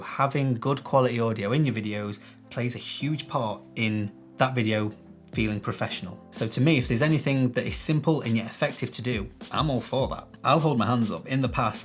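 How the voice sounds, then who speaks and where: A man with a bassy voice, explaining a video-editing tutorial to camera in a chatty, self-deprecating way, recorded on a shotgun mic in a small room. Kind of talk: casual tutorial talk to camera. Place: small room with some echo. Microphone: shotgun microphone.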